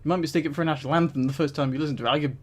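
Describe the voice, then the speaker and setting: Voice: medium pitch, steady tone, rapid-fire. A streamer who reads aloud in an amused, emphatic way with casual asides, prone to breaking into giggles. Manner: giggly, often breaking into laughter while reading.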